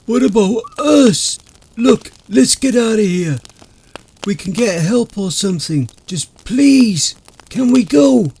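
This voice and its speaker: A man voicing a panicked young male friend who talks loudly and urgently. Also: high voice, expressive, unhurried, hardly any pauses, voice pushed up. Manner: frantic, loud, urgent.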